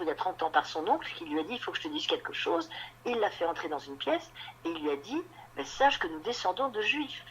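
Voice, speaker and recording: A woman novelist being interviewed on radio, talking in a halting way and often repeating words while she looks for them. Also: on the low side, a little hiss or hum, poor audio. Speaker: novelist guest.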